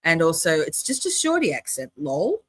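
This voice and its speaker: A woman's voice: sits low, huge pitch swings, normal speed, a bit bright. An older Australian woman with a mixed accent, chatting casually to her viewers while hosting a live reaction stream. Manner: chatty, talks to chat.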